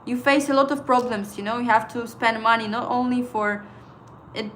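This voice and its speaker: A woman in her thirties, a native Estonian speaker with an Estonian accent, hosting a casual trading livestream and chatting with viewers in halting English full of fillers. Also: on the high side, fairly even intonation, fairly bright tone, a little hiss or hum. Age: 30s.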